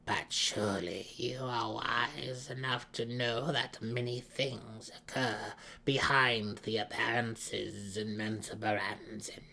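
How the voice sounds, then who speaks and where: A man voicing a withered old female dark elf matriarch, sly and imperious, in a measured, mysterious fantasy audiobook delivery. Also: medium pitch, fairly even intonation, vocal fry, full character voice. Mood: calm, menacing.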